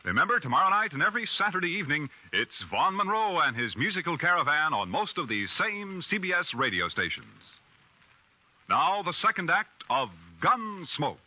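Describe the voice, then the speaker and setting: A man's voice: on the high side, big pitch swings, moderate pace, pushed and bright, no room sound. A man with an American accent reading scripted copy as a 1950s radio announcer. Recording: dull, dry 1950s radio recording.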